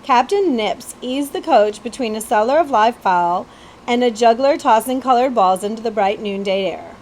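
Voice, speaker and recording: A woman's voice: fairly high pitch, moderate pace, a little hiss or hum. A woman reading a children's story aloud expressively, as a librarian would, stumbling now and then. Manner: animated storytelling with occasional stumbles and asides.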